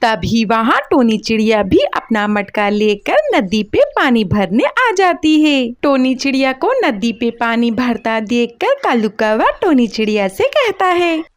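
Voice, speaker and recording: A woman narrates a scripted children's story. Her voice is on the high side with animated, rising and falling intonation. The sound is dry, with little room to it. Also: unhurried, few pauses, no echo.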